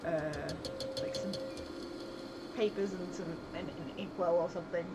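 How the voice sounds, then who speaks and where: A woman streamer and game developer chatting casually on a livestream, thinking aloud in a rambling way with soft laughs. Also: fairly low pitch, steady tone, measured pace. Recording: loud background noise, vehicle sounds.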